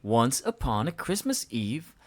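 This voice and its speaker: Woman as a slow, patient storytelling dad. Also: rumbling low, big pitch swings, full character voice. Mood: fond. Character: patient monster father telling a bedtime tale.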